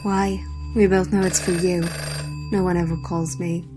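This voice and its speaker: A woman acts a resentful, tired wife in an audio drama. Her slightly low voice stays flat and bitter, with music and a ringing phone behind it. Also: normal speed, lightly acted. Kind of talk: fan audio drama acting.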